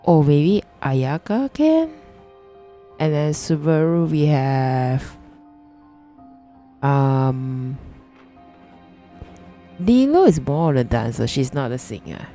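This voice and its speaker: Low, mellow-voiced woman game streamer. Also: very animated, takes it slowly, long pauses, soft background music. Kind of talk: casual livestream game commentary.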